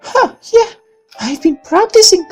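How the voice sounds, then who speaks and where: A sweet, playful young girl from a visual novel, acted out by a man in a very high, swooping voice. Faint music plays behind the lines. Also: expressive, a little slow. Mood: sweet, shy. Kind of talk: visual novel character read-aloud.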